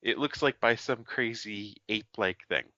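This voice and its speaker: A male podcast co-host with a bright, forceful voice works through a film plot in loose conversation. He stumbles and restarts often. The recording is dry and a little dull. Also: on the low side, normal speed, dry recording. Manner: rambling plot summary with fillers and restarts. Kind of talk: casual film review chat.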